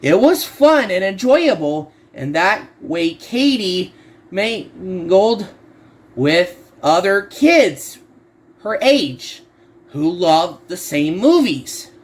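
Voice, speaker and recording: A man reading a horror story aloud as an amateur narrator, halting and often stumbling over and repeating words. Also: high voice.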